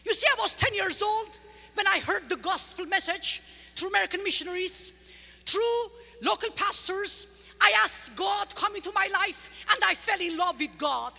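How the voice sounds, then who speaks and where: A woman with an Armenian accent, a guest speaker giving a lively, humorous church testimony, heard in a large church. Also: very high-pitched, normal speed, creaky. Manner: lively, humorous, teaching the crowd. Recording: large church hall reverb with faint music.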